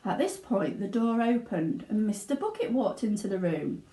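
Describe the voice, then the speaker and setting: A woman giving an expressive narration of a children's storybook. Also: medium pitch, moderate pace. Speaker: teaching assistant reading aloud. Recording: roomy indoor echo.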